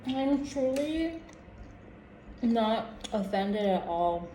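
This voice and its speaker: An American woman chatting casually to camera as a vlogger, in a halting, reflective way that trails off mid-thought. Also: fairly high pitch, lively intonation. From United States. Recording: roomy echo with background noise.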